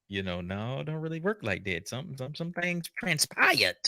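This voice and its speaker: Bright-voiced man giving animated commentary. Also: medium pitch, normal speed, gravelly, dry recording, studio quality. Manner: animated, joking, colloquial. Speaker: host reacting to a documentary. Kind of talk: casual unscripted commentary.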